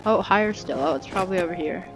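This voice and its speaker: A woman's voice: fairly low pitch, quick pace, low-quality recording. A woman giving casual gameplay commentary as a streamer, with offhand, wry, half-muttered reactions. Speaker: game streamer. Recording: loud game music behind. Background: game music.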